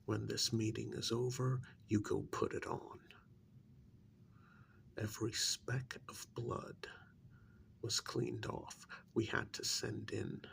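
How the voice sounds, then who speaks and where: Man as stern covert handler for ASMR role-play. Very gravelly, hushed, exasperated, leans hard on repeated words. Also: medium pitch. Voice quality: gravelly, breathy half-whisper. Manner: deliberate, halting, menacingly emphatic, repeats words for weight. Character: stern, exasperated male handler running a covert containment operation. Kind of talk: soft-spoken ASMR role-play, half whispered.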